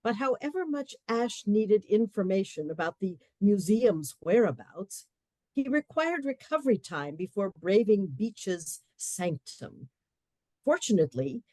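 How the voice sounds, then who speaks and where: A woman giving scripted narration for a radio play, measured and storytelling. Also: mid-range voice, darkish tone, dry recording.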